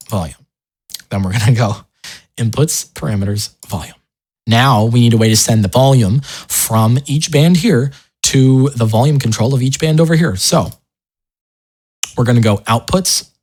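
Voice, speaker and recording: A man narrating a software tutorial in a casual, informal way, explaining each step as the host. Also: mid-range voice.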